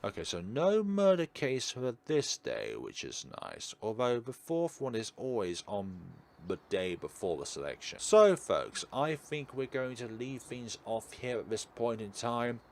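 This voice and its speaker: A man streaming a visual novel, narrating and commenting in an expressive, emphatic way. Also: medium pitch, unhurried, hardly any pauses. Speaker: game streamer. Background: game music.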